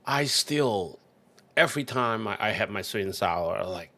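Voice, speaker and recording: A chatty male host with a low, gravelly voice leads a casual interview with enthusiasm, filling pauses with lots of ums and uhs. His low pitch and heavy vocal fry stand out. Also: lively intonation, sharp tone. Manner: chatty, enthusiastic, uses many fillers. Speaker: chef, podcast host.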